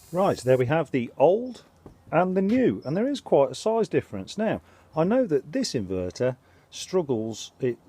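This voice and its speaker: A man's voice: medium pitch, warm tone, husky. Congested man in a chatty boat vlog. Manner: chatty, explains step by step. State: congested with a cold. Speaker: vlogger. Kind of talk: casual DIY vlog. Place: on a narrowboat.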